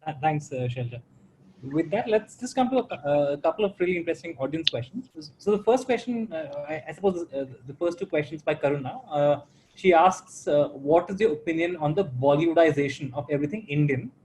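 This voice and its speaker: A man moderating a panel discussion, halting and often restarting as he frames questions. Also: on the high side, lively intonation, a little mellow, slight background noise, rough recording.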